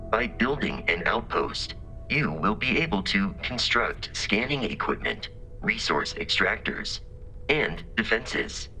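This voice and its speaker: A courteous robot companion from a video game, low and crisp-edged. It speaks formally and precisely, with literal-minded dry humor in a deadpan delivery, over faint music. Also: male voice, full character voice, rough recording. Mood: informative, measured. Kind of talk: scripted video game character dialogue.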